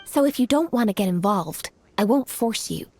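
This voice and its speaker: A dutiful female commander in a video game, acted by a woman, composed and businesslike. Her medium-pitched voice is measured and formal, with soft music behind it. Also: expressive, close to an everyday voice. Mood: earnest, measured. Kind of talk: scripted video game voice acting.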